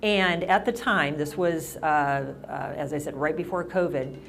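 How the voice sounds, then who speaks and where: A woman's voice: low voice, a little slow, creaky, fairly bright tone, a little hiss or hum. A middle-aged woman mayor talking casually at a senior center, rambling with lots of restarts and fillers. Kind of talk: casual town-hall talk.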